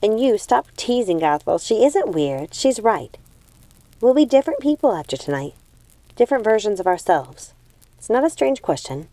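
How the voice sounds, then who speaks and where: A woman voicing a calm young witch sister in a storybook, a gentle peacemaker who reasons and reassures. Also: mid-range voice, moderate pace, dry recording, pitched above the performer's own voice. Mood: firm.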